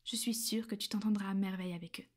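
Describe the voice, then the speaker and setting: A woman voicing a gentle, possessive female vampire in an ASMR roleplay. She speaks softly and intimately, often in a half-whisper, coaxing and reassuring. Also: medium pitch, steady tone, quick pace, close to an everyday voice. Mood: teasing.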